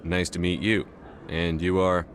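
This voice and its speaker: A man's voice: sits low, level tone, a little slow, slight background noise. A man playing a composed, businesslike military commander in a video game, direct and restrained. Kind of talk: video game character acting.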